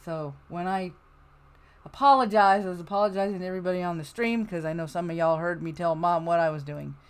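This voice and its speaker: A woman's voice: on the low side, a little fast, a little hiss or hum. A woman hosting a casual livestream in a chatty, rambling, folksy way. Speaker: livestream host. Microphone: headset or USB microphone.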